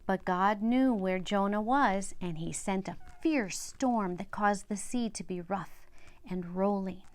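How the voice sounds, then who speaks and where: A woman's voice: mid-range voice, slow pace, a little mellow. An older woman reads a children's Bible story aloud, speaking directly and simply to young listeners.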